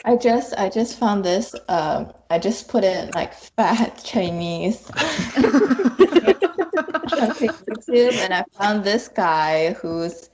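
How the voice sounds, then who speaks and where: A woman chatting casually as a podcast guest, speaking haltingly in short fragments. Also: mid-range voice, a little mellow, slight background noise. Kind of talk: casual chat.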